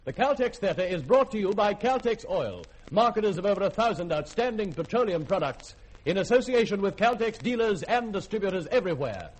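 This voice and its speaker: High-pitched, animated male radio announcer. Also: a little fast, a little hiss or hum, rough recording. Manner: formal, declamatory. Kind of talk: 1950s radio announcing, scripted.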